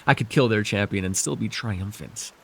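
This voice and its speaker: A man voicing a scheming, weary villain lord in an immersive fantasy ASMR roleplay, wry and persuasive, speaking theatrically with halting, musing pauses and sighs. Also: on the low side, vocal fry, breathy edge. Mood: weary, brooding. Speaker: voice actor.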